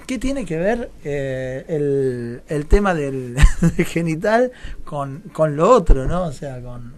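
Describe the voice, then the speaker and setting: Male, Argentine Rioplatense accent, slightly high register. Reflective interview guest, discursive and conversational, fond of rhetorical questions. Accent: Rioplatense Argentine Spanish. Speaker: guest playwright.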